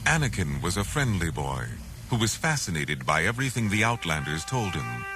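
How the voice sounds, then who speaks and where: A man reading a scripted children's adventure story as narrator, in a dramatic, measured way. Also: sits low, big pitch swings, music in the background.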